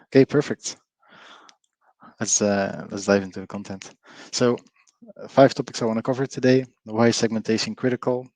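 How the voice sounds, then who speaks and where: A middle-aged man with a Flemish Belgian accent presents a webinar in a lowish voice. He is chatty, peppers his talk with fillers and keeps asking his audience to join in. The sound is dry and close. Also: darkish tone, no echo, poor audio. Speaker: webinar presenter, company co-founder. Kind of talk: live online presentation.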